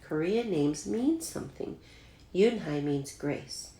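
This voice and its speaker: A woman's voice: on the low side, expressive, slow, dark tone. A woman voicing a polite, earnest young immigrant schoolgirl in a storybook reading. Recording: roomy, echoey home recording.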